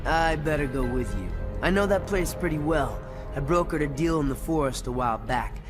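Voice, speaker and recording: A man's voice: a little high, lively intonation, moderate pace, close to an everyday voice. An actor playing a streetwise young tough guy in a video game cutscene, blunt and slangy with a wry edge. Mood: wry, knowing. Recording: music under the voice.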